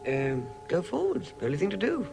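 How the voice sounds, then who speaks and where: An actor playing a timid, fussy hobbit in a radio drama, with a hesitant, fretful way of talking. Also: male voice, high voice, wildly swooping pitch, fairly quick, gravelly, music in the background, exaggerated voice, low-quality recording. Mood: anxious, wondering. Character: timid, fussy, flustered hobbit recounting his adventure. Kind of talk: radio drama acting and first-person narration.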